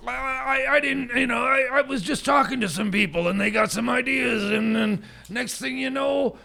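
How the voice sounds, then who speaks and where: A man plays a sheepish time-traveler in a comedy radio sketch. His high, bright voice is extremely gravelly, and he falters through defensive self-justification. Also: moderate pace, heavily acted. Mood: indignant, defensive. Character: sheepish, defensive male time-traveling sidekick making excuses.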